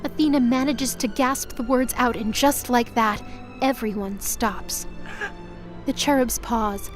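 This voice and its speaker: High-pitched woman narrating dramatic fiction. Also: a little slow. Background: music.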